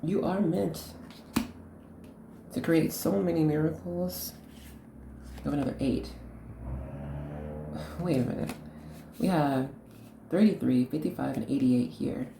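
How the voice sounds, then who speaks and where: A woman hosting an unscripted tarot reading, speaking to the viewer in an earnest, instructive way, in short halting phrases. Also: deep voice, creaky, reverberant, background noise. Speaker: host, tarot card reader.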